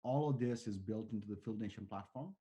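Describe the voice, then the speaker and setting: Earnest founder with a mellow voice. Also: male voice, lowish voice, fairly quick, dull audio. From Bangladesh. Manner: earnest, talks in long strings of restarts and fillers. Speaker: startup founder, interview guest. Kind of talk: conversational interview answers.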